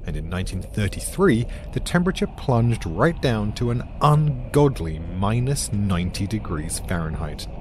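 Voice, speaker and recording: British male explainer, dry and dramatic, lowish and animated, faint background noise. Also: normal speed, few pauses. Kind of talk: scripted podcast narration.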